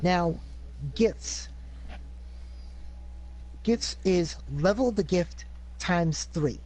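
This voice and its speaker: A man, a player, reading out rules step by step, methodical, with hesitant pauses. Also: sits high, a little mellow. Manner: methodical, plain explaining with hesitant "uh"s.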